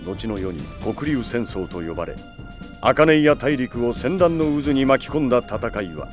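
A man's voice: mid-range voice, big pitch swings, normal speed, faint music behind, lightly acted. A man as a solemn epic-saga narrator. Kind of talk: scripted dramatic narration.

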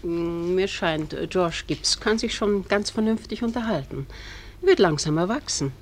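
An actress playing a brisk, no-nonsense but fond middle-aged small-town mother in a German radio drama. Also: lowish voice, animated. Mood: brisk, chatty.

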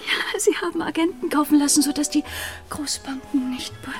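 An actress playing a desperate, clinging married mistress past her youth in a melodramatic audio drama, breathy and halting. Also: high voice, over music, close to an everyday voice. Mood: breathless, conspiratorial. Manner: breathy, halting, repeating words.

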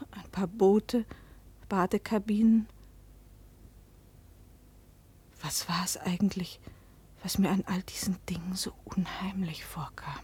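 An actress in a German radio play as a guarded, dreamy, troubled woman, breathy and introspective, with measured literary delivery. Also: medium pitch, warm tone. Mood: uneasy, fearful. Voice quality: breathy, often dropping to a whisper.

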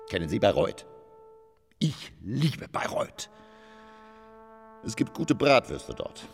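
Pompous, deep-voiced, wildly swooping theatre eccentric. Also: male voice, creaky, full character voice. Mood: rapturous, loud. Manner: pompous, declamatory, rambling, swinging from muttering to bellowing. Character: eccentric, irascible male theatre lecturer obsessed with stage curtains. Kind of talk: theatrical radio drama monologue.

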